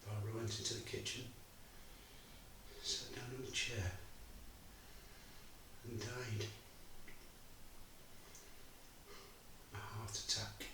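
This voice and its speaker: Grieving older father, played by a man in his 60s: low, hushed, measured, recorded far off in a very echoey room. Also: fairly even intonation, lightly acted. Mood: sorrowful. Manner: subdued, measured storytelling, growing quieter. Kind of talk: theatre monologue. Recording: very echoey room, mic far away, faint hiss.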